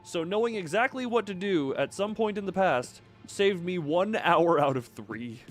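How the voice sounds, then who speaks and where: A man's voice: high voice, expressive, moderate pace, faint music behind. A man giving casual live game commentary, thinking aloud with playful, quirky turns of phrase. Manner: playful, quirky word choices. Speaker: video game streamer.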